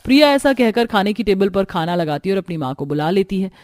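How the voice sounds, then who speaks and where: A woman's voice: medium pitch, expressive, quick pace, a little mellow, no room sound, studio quality. A woman narrator reading a scripted family story for a cartoon.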